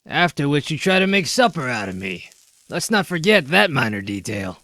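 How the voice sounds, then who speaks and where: A man's voice: a little high, sing-song, normal speed, sharp tone, dry recording, exaggerated voice. Man voicing a whiny, self-important talking pig. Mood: indignant. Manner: wheedling, indignant, wordy. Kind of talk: comic dub character acting.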